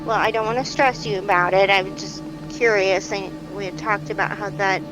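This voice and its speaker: An actress as a calm female doctor in a naturalistic fiction podcast. She is reassuring and patient, feeling her way through sentences in an ordinary mid-pitched voice, with music clearly underneath. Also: moderate pace, close to an everyday voice, poor audio. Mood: gently encouraging. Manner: soothing, patient, hesitant conversational phrasing. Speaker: therapist or doctor counselling a patient.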